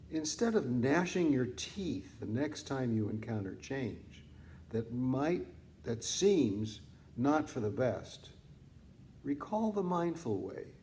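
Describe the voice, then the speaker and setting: A man reading scripted meditation teaching like an audiobook author, in deliberate, measured phrases with pauses. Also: mid-range voice, mellow, slight background noise. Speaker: author reading own book on mindfulness.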